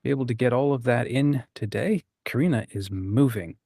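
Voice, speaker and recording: A man's voice: medium pitch, moderate pace, creaky, mellow, husky, dry recording, studio quality. A man hosting a writing livestream in a casual, informal, rambling and enthusiastic way. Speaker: host of a writing community livestream.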